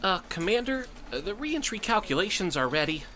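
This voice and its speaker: A man's voice: high-pitched, big pitch swings, fairly quick. An actor playing a nervous, deferential male flight controller, hesitant as he reports to a superior. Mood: anxious. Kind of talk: dramatic audio drama acting.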